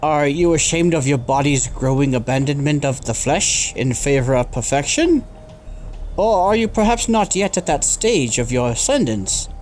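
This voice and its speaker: A man's voice: on the high side, expressive, moderate pace, darkish tone, a little hiss or hum, lightly acted. A man voicing a zealous, unctuous citizen in an audio drama, preachy in manner. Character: zealous male citizen devoted to body augmentation.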